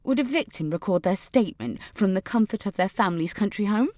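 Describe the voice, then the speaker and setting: A woman's voice: medium pitch, expressive, fairly quick, darkish tone, dry recording, natural voice. An actress playing a haughty, defensive upper-class woman delivering a pompous, precisely worded monologue in an audio drama. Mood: indignant, haughty. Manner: posh, pompous, precise formal diction. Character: haughty, defensive upper-class adult alumna named Katrina. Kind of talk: dramatic monologue in a fiction podcast.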